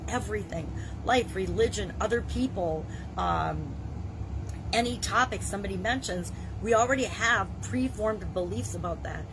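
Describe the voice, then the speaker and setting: A middle-aged woman with a gravelly voice from a cold, leading a self-help challenge in an earnest, conversational way. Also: medium pitch, background noise. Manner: earnest, conversational, with frequent restarts. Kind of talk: motivational talk to camera.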